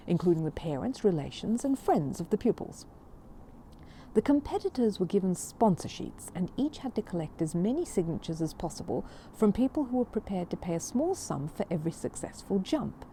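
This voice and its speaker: A woman reading a children's story aloud in an expressive, amused storybook style. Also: medium pitch, a little hiss or hum.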